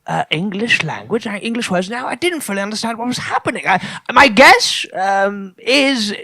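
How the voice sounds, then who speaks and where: A man voicing a polite, forgetful correspondent from the future, halting and apologetic as he rambles through an improvised comedy interview. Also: high-pitched, expressive, bright, forceful tone, no room sound, studio quality, full character voice. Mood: polite, rambling. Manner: hesitant, apologetic, halting with repeated words.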